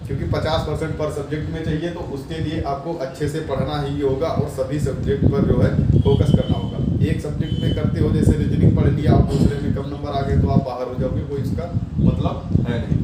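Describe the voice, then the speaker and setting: Man explaining exam statistics, slightly high voice, far from the mic, loud hiss. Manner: reads out numbers digit by digit, reassuring and repetitive. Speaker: exam coaching YouTuber. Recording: echoey distant mic with loud noise.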